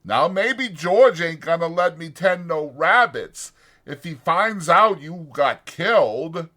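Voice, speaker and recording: A man voicing a huge, childlike, simple-minded man in an audiobook, slow and plaintive with folksy uneducated speech. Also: a little high, expressive, bright, forceful tone, pitched above the performer's own voice, full character voice. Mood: worried, pleading. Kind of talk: dramatized audiobook character acting.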